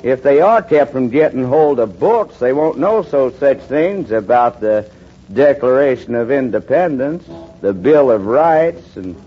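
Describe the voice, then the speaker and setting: An actor playing a smug, bigoted small-town lawyer with a sneering drawl, in 1940s radio acting. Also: male voice, mid-range voice, expressive, unhurried. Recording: dull old radio recording with music.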